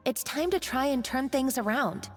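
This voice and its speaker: A woman's voice: high voice, expressive, normal speed. A woman playing a nervous but determined young cook who talks hesitantly. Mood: earnest. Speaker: professional chef. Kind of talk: video game dialogue. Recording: reverberant game audio with faint music.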